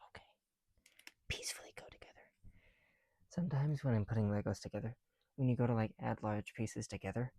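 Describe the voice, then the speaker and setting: A soft-spoken man chatting casually in an ASMR style, often dropping into whispers, with a creaky voice and a rambling, halting delivery, close to the mic. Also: medium pitch, no echo. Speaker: ASMR video creator. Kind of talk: casual ASMR chat while building bricks.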